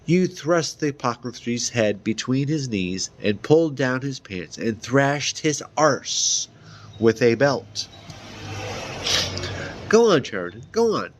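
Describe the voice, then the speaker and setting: A man reading a fantasy novel aloud as an amateur, halting at times, with small stumbles and repeated words. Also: medium pitch, animated, slight background noise. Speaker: amateur reader.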